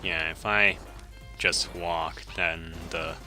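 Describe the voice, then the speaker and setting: Male game streamer, Japanese first language; deep, very bright and forceful tone, relaxed self-correcting chatter over faint game music. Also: takes it slowly. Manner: easygoing, chuckles often, self-correcting. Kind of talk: casual gameplay commentary, reading chat.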